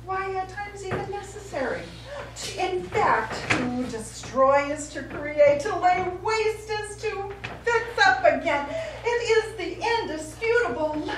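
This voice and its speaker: A woman's voice: extremely high pitch, full character voice. A middle-aged actress playing a pompous, easily wounded woman painter on stage, in florid, grandiloquent style. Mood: grandly musing. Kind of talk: live stage comedy acting. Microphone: distant audience camera. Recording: echoey small theatre, distant mic, faint noise.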